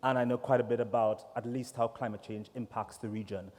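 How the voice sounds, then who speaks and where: A man from Trinidad and Tobago with a Trinidadian accent, chatty and relaxed, moderating a conference panel at a desk microphone. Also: medium pitch, a little fast. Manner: relaxed, chatty, with many fillers. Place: large conference hall. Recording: echoey hall reverb.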